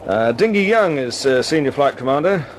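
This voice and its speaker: An actor playing a brisk, commanding wartime air force squadron leader in an old-time radio drama, speaking in a clipped, authoritative way. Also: male voice, mid-range voice, animated, natural voice. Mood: easy, confiding. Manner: clipped, authoritative, informal with his men. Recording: noisy old radio recording.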